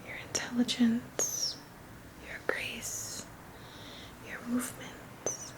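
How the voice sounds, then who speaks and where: A woman leading an ASMR guided energy-healing session in a breathy whisper, soothing and repeating short phrases. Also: a little high, monotone, very slow, rough recording. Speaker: ASMR reiki practitioner and host.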